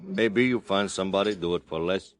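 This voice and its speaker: An actor playing a cagey half-breed frontier interpreter in a 1950s radio drama, speaking in clipped broken phrases. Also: male voice, deep voice, fairly quick, lightly acted.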